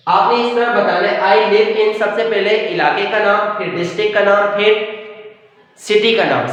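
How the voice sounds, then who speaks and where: High-voiced man teaching in an echoey room. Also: a bit bright. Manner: slow, deliberate model sentences in English with explanations in Urdu. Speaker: English teacher. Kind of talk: step-by-step spoken English lesson. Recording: very echoey room with long reverb.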